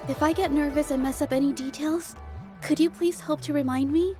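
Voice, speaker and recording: A woman's voice: high voice, steady tone, fairly quick, dark tone, faint music behind, lightly acted. A female actor playing a shy, earnest young artist with a hesitant, timid, polite way of talking. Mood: nervous, grateful.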